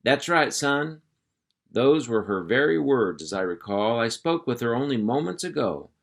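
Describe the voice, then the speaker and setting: A man's voice: medium pitch, very animated, moderate pace, creaky, voice pushed up. A man playing a boastful cow dog. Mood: hearty. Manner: folksy drawl, hearty and lecturing. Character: boastful male ranch cow dog, folksy.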